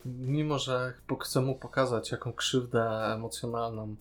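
A man co-hosting a podcast, giving a casual, enthusiastic series review with hesitant pauses. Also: mid-range voice, little pitch movement. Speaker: podcast co-host.

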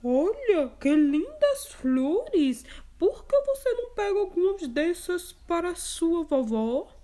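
A woman's voice: very high-pitched, sing-song, slow, warm tone, pitched above the performer's own voice, full character voice. A woman voicing a sly male wolf in a children's storybook reading, coaxing in a faked sweet voice.

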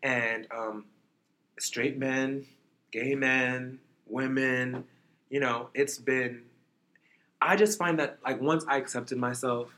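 A man's voice: medium pitch, fairly even intonation, slow, bright, forceful tone. A man in his thirties from New York, chatting easily as an interview guest and filling his talk with "like" and "you know". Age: 30s. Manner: chatty, laid-back, peppered with "like" and "you know". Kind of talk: casual chat.

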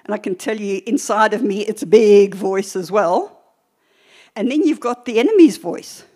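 An older woman preacher teaching a church sermon in a deliberate, emphatic way, with short pauses and repeated phrases. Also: mid-range voice, expressive, a little mellow.

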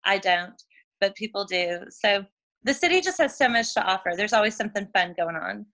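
A woman in her forties from Oklahoma, chatting casually as a guest over a video call, full of "like" fillers and quick laughs. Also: mid-range voice, lively intonation, fairly bright tone. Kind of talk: casual chat.

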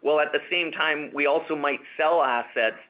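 A man talks in the measured, confident way of a senior executive on an investor call. He restarts phrases and repeats small words as he answers unscripted. The sound is somewhat dull and lo-fi, as from a conference line. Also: on the high side. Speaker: company executive answering analyst questions. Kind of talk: corporate earnings call Q&A, unscripted. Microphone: conference call webcast line. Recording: dull, band-limited conference call audio.

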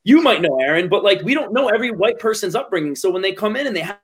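A man's voice: sits high, animated, fast talker, a bit bright, breathy edge. A man in a casual podcast discussion, talking earnestly and stumbling over his words with many restarts. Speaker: podcast guest.

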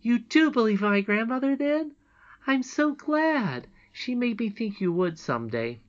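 Storybook princess, young girl, voiced by a woman: high, sweet, trusting, childlike emphasis, slightly dull lo-fi sound. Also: very animated, a little slow. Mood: glad, tender.

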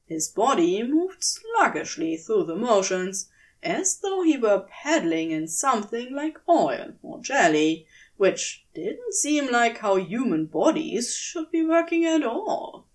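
A woman reading scripted fan fiction as narrator, in a measured, expressive storytelling manner. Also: fairly high pitch.

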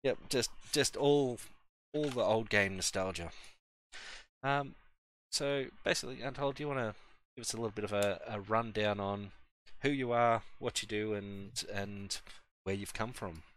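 Man, fairly low voice, laid-back podcast host, stumbles and repeats words. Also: moderate pace, fairly bright tone, slight background noise. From Australia. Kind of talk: casual podcast chat.

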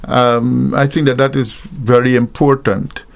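A middle-aged man from Guyana, an interview guest who talks through Bible passages in a halting way, searching for his words. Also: medium pitch, fairly even intonation, gravelly. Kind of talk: slow reflective Bible discussion.

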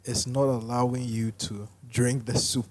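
Relaxed man co-hosting a podcast. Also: mid-range voice, measured pace. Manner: relaxed, laughs easily, speaks softly. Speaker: podcast co-host. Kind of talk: casual podcast chat. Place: restaurant.

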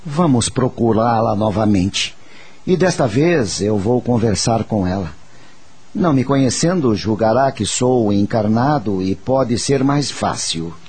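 A man playing a wise, patient spirit teacher, speaking in a measured, reassuring and didactic way in a radio drama. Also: fairly low pitch, animated, a little mellow, slightly rough, exaggerated voice. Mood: reassuring, instructive. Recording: faint music under the voice.

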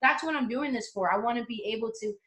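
A woman with a fairly high voice talks brashly and confidently, swearing freely as she tells her story in a casual interview. Her voice rings in an echoey room. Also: quick pace, sharp tone. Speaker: interview guest, motivational coach.

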